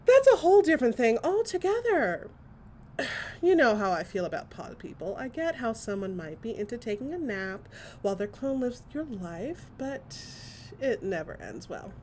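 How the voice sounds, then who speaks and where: A woman acting a chatty, excitable gossip who tells stories to a friend in a theatrical, emphatic way, in a comic audio drama monologue. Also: on the high side, normal speed, a little hiss or hum. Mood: scandalized delight, then weary, opinionated.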